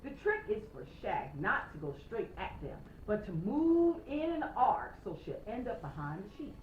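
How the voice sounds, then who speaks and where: A woman reads a children's story aloud with an animated, expressive delivery. Her pitch moves widely and she pauses for emphasis. The sound is roomy, with faint background noise. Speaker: storytime reader. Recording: roomy, slightly noisy, dull home recording.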